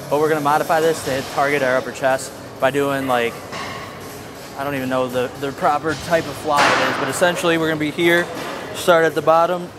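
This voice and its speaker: A young man talking casually as a fitness vlogger, explaining a workout in a gym. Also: on the high side. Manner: upbeat, coaching cues, casual. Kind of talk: casual workout vlog narration and coaching. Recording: gym music playing behind. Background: music.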